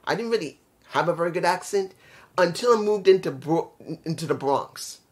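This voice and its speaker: A man's voice: high voice, expressive, moderate pace. A man with a New York accent, reviewing a wrestling show in a casual, rambling, blunt way, often repeating himself. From New York City. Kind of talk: casual solo video review.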